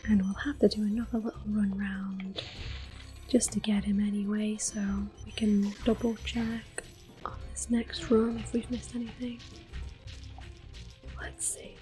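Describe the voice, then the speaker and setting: Female ASMR game host: breathy whisper, dark mellow tone, unhurried gentle explanations, music behind. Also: medium pitch, little pitch movement. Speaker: ASMR gaming video host. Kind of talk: soft-spoken ASMR game commentary with whispering. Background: video game music.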